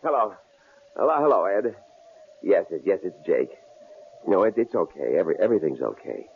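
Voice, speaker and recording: An actor playing a shrewd, calm middle-aged psychology professor who posed as a killer, in an old radio drama, with a very deep, gravelly voice. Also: male voice, exaggerated voice. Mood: breezy. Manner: calm, measured, dryly amused. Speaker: university psychology department head. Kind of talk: 1950s-70s radio drama acting. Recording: tinny, lo-fi old radio recording with faint hiss.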